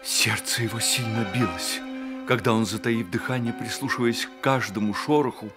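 A man narrating a literary radio drama as an expressive, measured storyteller. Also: mid-range voice, over music, close to an everyday voice. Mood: hushed suspense. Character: storyteller of a boy's secret adventure.

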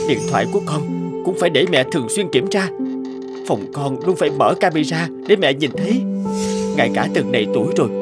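A man plays a dutiful, stifled young son in a cartoon. He is earnest and plaintive, in a slightly high male voice. Music is loud behind him. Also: lightly acted. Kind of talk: animated moral tale dialogue.

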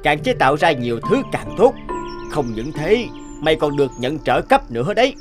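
An actor playing a stern, scheming middle-aged factory boss in a cartoon, blunt and domineering. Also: male voice, fairly high pitch, big pitch swings, moderate pace, music in the background. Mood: calculating, persuasive. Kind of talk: cartoon dubbing.

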